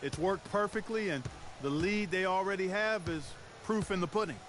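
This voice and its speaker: A man's voice: sits high, moderate pace, a bit bright, slight background noise. A man voicing a folksy color commentator in a basketball video game. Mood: analyzing. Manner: folksy, chatty analysis. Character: folksy male color analyst, upbeat. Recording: game audio with music behind.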